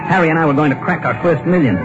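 A man's voice: a little high, racing, natural voice. A haunted, desperate businessman, as a man acts him out tells his story in a tense, brooding voice. The old radio broadcast sounds muffled and dull, with orchestral music swelling underneath. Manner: tense, haunted first-person narration. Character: anxious broke businessman, middle-aged husband. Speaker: narrator and lead actor. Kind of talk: 1950s radio drama acting.

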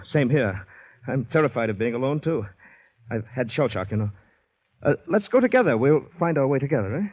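An actor playing a panicky, polite, shell-shocked Canadian man who narrates his ordeal intensely and haltingly in a 1950s radio drama. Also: mid-range voice, big pitch swings, slightly rough, no echo. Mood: nervous, polite. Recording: dull vintage radio recording, music behind.

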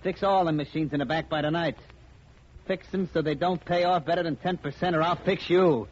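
An actor playing a brutish, ill-tempered racketeer in a 1950s radio crime drama, with gruff, bossy, slangy tough talk. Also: male voice, fairly high pitch, fairly even intonation, fairly quick, natural voice. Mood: angry, threatening. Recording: old muffled radio recording, light hiss.

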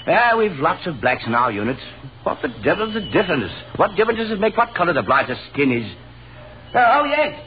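An actor playing a hearty, slangy army lieutenant in a 1930s radio drama, breezy and blustery. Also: male voice, a little high, sing-song, fairly quick. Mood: surprised, forthright. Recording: dull, noisy 1930s radio recording.

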